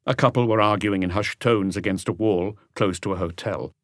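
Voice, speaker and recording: A man's voice: fairly low pitch, moderate pace, no echo, clean studio sound. A man reading a mystery novel aloud as scripted audiobook narration.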